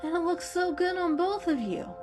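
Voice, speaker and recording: A woman's voice: extremely high pitch, normal speed, over music, voice pushed up. A woman voicing a playful, brash male cat in a storybook reading, emphatic and exclaiming.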